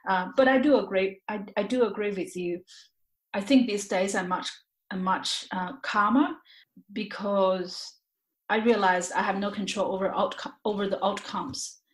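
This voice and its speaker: A woman's voice: medium pitch, fairly even intonation, normal speed. A woman speaking as an interview guest, reflective and candid, often repeating words and phrases as she searches for the right ones. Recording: roomy, echoey sound.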